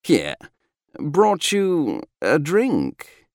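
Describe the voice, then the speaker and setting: A man's voice: a little high, wildly swooping pitch, very slow, a little mellow, no echo, pitched above the performer's own voice, heavily acted. Casting: jovial daredevil spaceman, performed by a male reader, hearty and teasing, swooping intonation, close dry sound.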